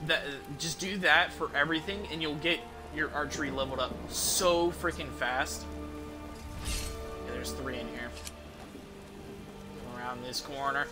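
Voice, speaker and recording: A man's voice: on the high side, a little slow, long pauses, music in the background. A man giving casual, rambling video game commentary, explaining things as he plays and swearing offhandedly. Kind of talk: casual gameplay commentary with tips.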